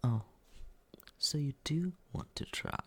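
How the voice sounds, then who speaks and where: A man playing a smug, condescending but secretly caring young roommate in a teasing ASMR roleplay, with breathy, husky whispering and murmuring. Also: fairly high pitch, animated, fairly bright tone. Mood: mocking, playful. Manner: teasing, condescending, slow drawl.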